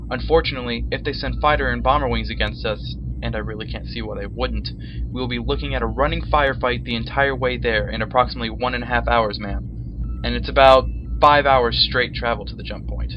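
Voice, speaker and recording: A man's voice: mid-range voice, brisk, background noise, natural voice. A man playing a dutiful, businesslike bridge officer in an audio drama, making matter-of-fact status reports. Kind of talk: sci-fi audio drama acting. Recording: dull lo-fi voice track, music behind.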